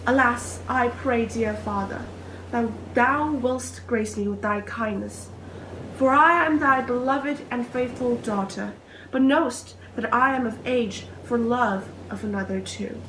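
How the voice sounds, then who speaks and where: A woman's voice: a little high, measured pace, fairly bright tone. A young woman performing an innocent, lovestruck maiden in an earnest, theatrical Shakespearean soliloquy. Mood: pleading. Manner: earnest, declamatory, archaic diction. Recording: echoey room with faint noise.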